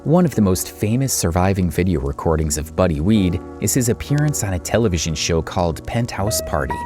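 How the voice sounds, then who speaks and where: Low-voiced man narrating a documentary over music. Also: very animated, brisk.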